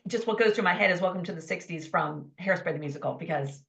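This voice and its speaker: A woman in her fifties, a life coach telling her story as a podcast guest in an enthusiastic, animated way. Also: lowish voice, fast, gravelly. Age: 50s. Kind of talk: conversational interview storytelling.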